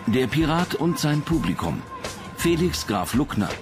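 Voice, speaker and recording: A man's voice: on the high side, very animated, unhurried, over music. A man reading scripted documentary narration as the narrator.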